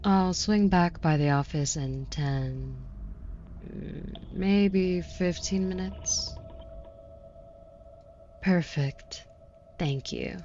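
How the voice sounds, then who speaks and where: Low, slow, haughty demon woman, acted by a woman. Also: dark tone, highs cut off, a little hiss or hum. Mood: cool, businesslike. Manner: slow, condescending, mock-sweet taunting. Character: haughty, predatory female demon buyer, cruelly teasing. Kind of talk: intimate audio roleplay acting.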